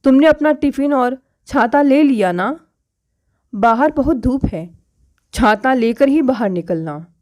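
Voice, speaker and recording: A woman narrator reads as an elderly father in a domestic storybook tale. He is plain-spoken and fatherly, in a fairly high voice. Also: expressive, measured pace, a little mellow. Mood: caring. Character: caring elderly father, resigned about his daughter's fate.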